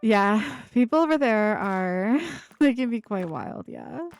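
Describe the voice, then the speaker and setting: Woman streamer, mid-pitched, casual and chatty, breathy giggles, home desk mic. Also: sing-song, takes it slowly, faint music behind. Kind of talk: casual live-stream chat.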